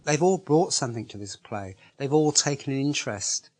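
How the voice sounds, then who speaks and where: Male organiser, mid-pitched, earnest, emphatic testimonial delivery. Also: animated, moderate pace, a little mellow. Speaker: project organiser. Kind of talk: interview testimonial.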